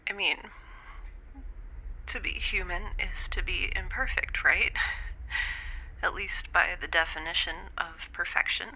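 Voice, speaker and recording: A woman in an audio drama speaking a halting, often whispered confession. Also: on the low side, fairly even intonation, bright, forceful tone, rough recording. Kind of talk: scripted audio drama monologue.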